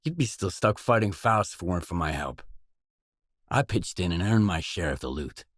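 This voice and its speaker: Guarded stalker mercenary, video game dialogue, lowish male voice, terse, dry delivery, very dry studio sound. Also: animated, normal speed, breathy edge, no room sound. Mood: firm, defiant.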